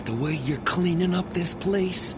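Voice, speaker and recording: An actor playing a starstruck, excitable young fan in a game, gushing eagerly. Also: male voice, sits high, unhurried, noisy background. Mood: excited. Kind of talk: casual game dialogue. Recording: loud game music behind the voice.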